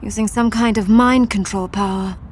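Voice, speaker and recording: An actress playing a cool, composed telepathic superheroine in a video game, dry in manner. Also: female voice, mid-range voice, fairly even intonation, moderate pace, a little hiss or hum, close to an everyday voice. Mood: cool, focused. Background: loud music.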